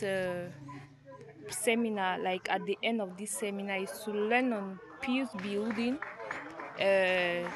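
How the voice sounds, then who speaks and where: A woman giving a hesitant, unscripted interview answer as a seminar participant. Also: mid-range voice. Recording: crowd chatter behind.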